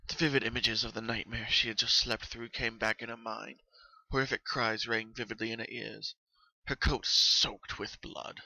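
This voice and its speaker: A man narrates a dark horror story in a solemn, dramatic way. His voice is very bright and tense, at a medium pitch, and recorded very dry. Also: flat delivery, moderate pace, creaky, dry recording, rough recording. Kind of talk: dramatic reading of horror fan fiction.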